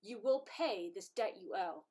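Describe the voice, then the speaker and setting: A woman playing a bitter, resolute dead soldier in a play reading, speaking with deliberate insistence. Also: medium pitch, animated, mellow, lightly acted. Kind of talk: live amateur play reading.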